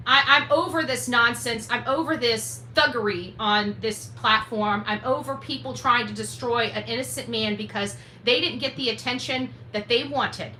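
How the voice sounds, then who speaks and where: High-pitched, combative woman livestreamer. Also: sharp tone. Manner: combative, indignant, emphatic, repetitive. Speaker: livestream host. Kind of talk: livestream commentary rant. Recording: roomy echo, faint background noise.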